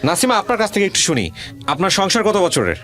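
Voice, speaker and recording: A Bangladeshi man works as a radio interview host. His voice sits a little high and he talks quickly. He probes with questions and repeats answers back, with music underneath. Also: wildly swooping pitch, fairly bright tone. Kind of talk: conversational interview on a radio show.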